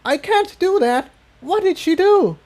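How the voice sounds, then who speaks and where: A man's voice: very high voice, animated, measured pace, a little mellow, pitched above the performer's own voice. A man voicing an anxious, defiant young male dragon in a storybook reading. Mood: defiant, distressed. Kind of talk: storybook character voice.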